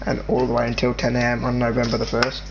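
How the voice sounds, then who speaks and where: An English teenage boy hosting a gaming video, chatting casually and rambling with halting restarts. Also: medium pitch, monotone, noisy background. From England. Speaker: gaming channel host. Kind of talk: casual chat over gameplay.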